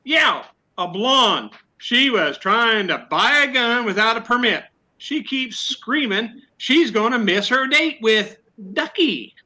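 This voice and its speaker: An actor playing an excitable, blustering police sergeant who barks repeated orders in an old-time radio comedy. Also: male voice, very high-pitched, slow, full character voice. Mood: exasperated. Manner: barking, repetitive orders.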